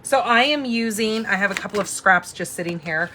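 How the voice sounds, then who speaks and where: A woman in her forties hosting a casual live craft demo, chatty and thinking out loud as she works. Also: medium pitch, brisk, slight background noise, low-quality recording. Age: 40s. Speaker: crafting host and instructor.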